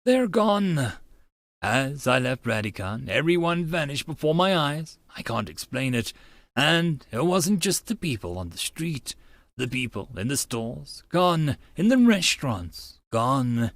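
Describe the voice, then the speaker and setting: Audiobook narrator, first-person story reading, theatrical, lively swooping intonation, dry recording. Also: medium pitch, slow pace, no echo. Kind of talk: dramatic first-person reading of a visual novel.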